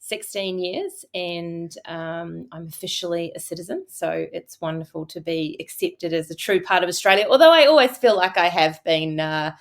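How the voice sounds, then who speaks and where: A woman with a Kiwi accent and a notably low, deep voice talks as a guest in a studio interview. She chats freely and keeps circling back with ums, restarts and you-knows. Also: moderate pace, creaky, few pauses. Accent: New Zealand. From New Zealand. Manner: chatty, frequent fillers and restarts. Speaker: podcast guest, agricultural photographer. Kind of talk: casual interview talk.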